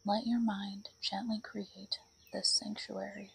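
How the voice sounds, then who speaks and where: A woman leading a guided meditation in a soothing, breathy voice that often drops toward a whisper. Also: medium pitch, level tone, slow pace, poor audio.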